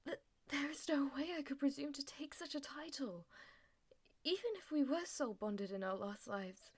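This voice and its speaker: A woman's voice: sits high, moderate pace, lightly acted. A woman playing a theatrical, delusional schoolgirl in an audio roleplay, speaking in grandiose formal phrases and stammering when flustered. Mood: flustered, timid. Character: melodramatic, delusional schoolgirl who fancies herself a demon lord's aide. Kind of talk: ASMR audio roleplay acting.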